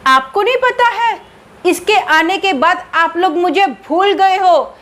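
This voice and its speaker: A woman putting on a shrill jealous ghost bride. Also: unhurried, a bit bright. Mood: bitter. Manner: shrill, bitter, accusing. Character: jealous ghost of a dead young wife.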